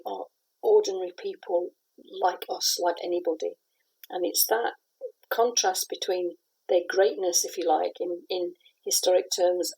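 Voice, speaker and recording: A middle-aged woman from the west of Scotland, a playwright answering interview questions in a thoughtful, measured way, pausing and restarting as she talks. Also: on the low side, warm tone, slightly rough, low-quality recording.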